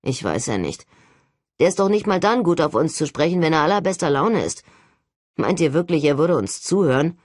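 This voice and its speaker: A woman's voice: deep voice, big pitch swings, moderate pace, a little mellow, no room sound, studio quality. A woman voicing a confident, reasoning teenage boy who leads young agents in an audiobook. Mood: skeptical.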